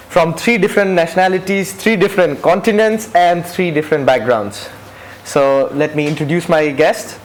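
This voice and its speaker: A man's voice: a little high, a little hiss or hum. A young man hosting a casual student interview, friendly and inviting with short prompts to his guests. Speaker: host.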